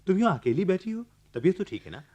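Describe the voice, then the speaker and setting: Radio drama: coaxing, worried adult son, male, rather high, rapid delivery. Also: big pitch swings, darkish tone. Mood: agitated, pleading. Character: anxious, insistent grown son coaxing his mother. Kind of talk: radio play acting.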